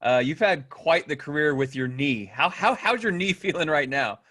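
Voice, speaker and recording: A man's voice: on the high side, expressive, normal speed, fairly bright tone. A man hosting a podcast over a video call, asking casual, friendly questions. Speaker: podcast host.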